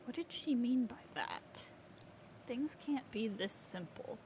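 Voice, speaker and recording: A woman's voice: a little high, lively intonation, slow pace, a little mellow, a little hiss or hum, rough recording. A woman streaming a game and giving casual reaction commentary.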